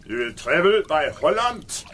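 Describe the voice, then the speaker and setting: An actor playing a cruel, domineering middle-aged German officer in a radio drama, mocking and commanding. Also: male voice, on the high side, big pitch swings, fairly bright tone, slight background noise. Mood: brisk. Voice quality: mocking, commanding, slow and deliberate. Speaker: villain.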